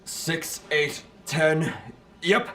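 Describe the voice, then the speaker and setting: A man's voice: fairly high pitch, drawn-out words, a bit bright, slight background noise. An actor playing a polite, anxious older male merchant in a video game, earnest and easily flustered. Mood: relieved, grateful. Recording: game music behind the voice.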